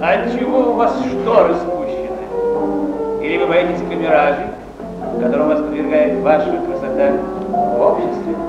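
A haughty, ardent courtier declaiming on stage, in a high voice. It rings with heavy echo on an old theatre tape, under loud music. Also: male voice, big pitch swings, moderate pace, lo-fi sound. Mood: insinuating.

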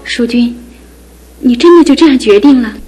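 A husky, skeptical young sister. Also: female voice, fairly high pitch, normal speed, warm tone, faint music behind, low-quality recording. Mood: doubtful. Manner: questioning, wistful. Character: skeptical young woman, wary of love. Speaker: fiancé's sister. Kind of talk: studio radio drama acting.

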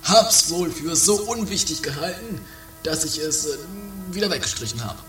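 A man's voice: fairly high pitch, sing-song, moderate pace, fairly bright tone. A man playing a forgetful, meek, henpecked husband, hesitant and affected, in an amateur comedy radio play. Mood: musing. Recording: roomy distant 1980s home recording, music behind.

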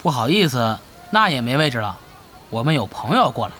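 An actor playing an exasperated male gamer in an audio drama, gruff, sarcastic and dismissive. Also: medium pitch, very animated, a little fast, sharp tone, a little hiss or hum.

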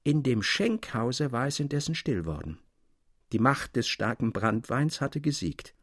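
A man narrating a literary tale in a measured, expressive and articulate dramatic audiobook style. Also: medium pitch, husky, no room sound, studio quality.

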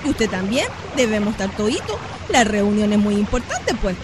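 A woman's voice: mid-range voice, very animated, close to an everyday voice. Woman acting an insistent neighbour, noisy water behind. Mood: urgent. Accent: Chilean. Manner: calling out, insistent, folksy. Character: busy, insistent poor neighbour woman spreading word. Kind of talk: radio drama acting, colloquial. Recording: noisy outdoor ambience, rushing water sounds.

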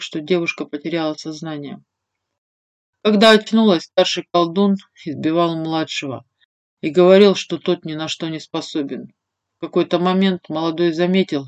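A woman reading fiction as an audiobook narrator, with an expressive, measured delivery. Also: on the low side, no room sound, lo-fi sound. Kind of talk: scripted fiction narration, first-person female storyteller.

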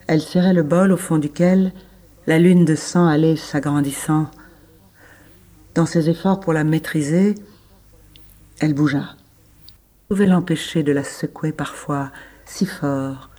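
Mellow, measured literary reader. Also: medium pitch. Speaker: reader of the French original. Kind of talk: slow literary reading.

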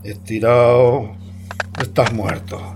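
A man's voice: mid-range voice, level tone, takes it slowly, very noisy. A man from Spain acting an embittered, vengeful rural man in a radio play, terse and brooding. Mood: angry accusing. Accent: Spanish, from Spain.

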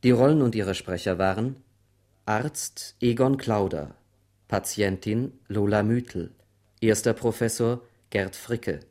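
A man's voice: on the low side, unhurried, darkish tone. A man working as a radio announcer, formally reading out scripted credits.

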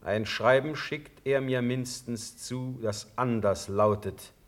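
A man's voice: mid-range voice, takes it slowly, lightly acted. An actor playing a stern, proud male sovereign in a classical radio drama, with a commanding, formal declamation. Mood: exasperated, commanding.

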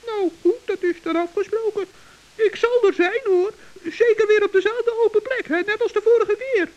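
A woman's voice: very high-pitched, moderate pace, darkish tone, lo-fi sound. A woman voicing a cheerful, brave male forest gnome in an old children's radio play, chatty and earnest. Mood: chirpy.

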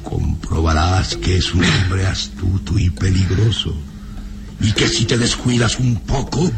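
An actor playing a cruel, domineering older baron in a radio serial, speaking with theatrical contempt. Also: male voice, low voice, steady tone, unhurried, vocal fry, over music. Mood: scornful, scolding. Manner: contemptuous, taunting, imperious.

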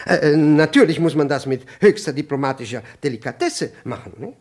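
An actor playing a pompous, scatterbrained, middle-aged senior ministry official in a radio play, stammering and losing his thread, with a husky, breathy voice. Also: on the high side, darkish tone. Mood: confused, evasive. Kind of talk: 1960s German radio play acting.

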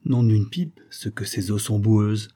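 A man playing a grumbling, suspicious tourist husband, gruff, sardonic and colloquial. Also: lowish voice, fairly even intonation, measured pace, warm tone. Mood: curious.

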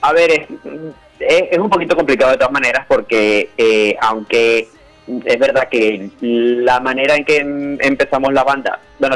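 Man, from venezuela, band founder, hesitant, many restarts, middle pitch, faint music. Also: rough recording. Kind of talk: casual interview over a call.

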